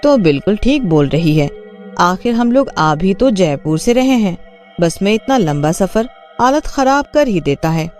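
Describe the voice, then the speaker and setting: A brisk, practical young woman friend played by a man chats in a cartoon tale. The tone is mellow and matter-of-fact. There is faint music underneath. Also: high voice, very animated, pitched above the performer's own voice.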